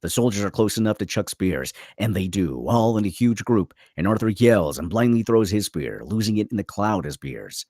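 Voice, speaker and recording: Low, dry-sounding male narrator with dramatic swings. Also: big pitch swings, moderate pace, hardly any pauses, a little mellow, no echo. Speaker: narrator reading screenplay stage directions. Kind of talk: scripted narration at a table read.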